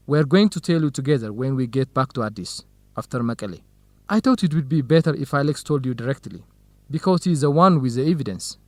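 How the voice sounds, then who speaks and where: A male actor plays a gentle young academic, a loyal and supportive friend. His voice is mid-pitched and recorded dry. The delivery is measured, careful radio drama dialogue with clear diction. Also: animated, dry recording, lightly acted. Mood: apologetic.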